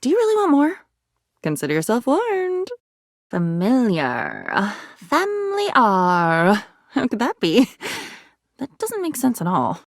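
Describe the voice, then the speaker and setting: A woman's voice: fairly high pitch, huge pitch swings, slow, creaky, no room sound, clean studio sound, exaggerated voice. A woman voicing a video game character, an unstable living diary, lonely and frantic, with a theatrical, erratic delivery. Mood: lonely, bleak. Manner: theatrical, erratic, swinging between whispers and cries.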